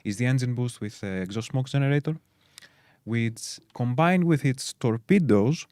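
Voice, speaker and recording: A man's voice: medium pitch, normal speed, darkish tone, dry recording, studio quality. A man from Greece with a Greek accent, co-hosting a game stream and chatting casually with lots of fillers as he explains game details. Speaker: stream co-host.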